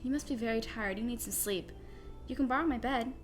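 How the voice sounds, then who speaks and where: A woman voicing an earnest, emphatic young female pony in an animated fan dramatic reading. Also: a little high, fairly quick, music in the background, close to an everyday voice. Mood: kind, reassuring. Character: young female pony, firm and commanding, turning kind.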